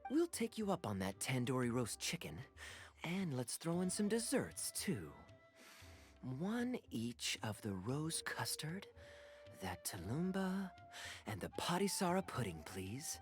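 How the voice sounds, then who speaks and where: An actor voicing a suave, easygoing adventurer in a video game, with playful charm and teasing, smooth phrasing. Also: male voice, mid-range voice, wildly swooping pitch, unhurried, faint music behind. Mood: suave, courteous.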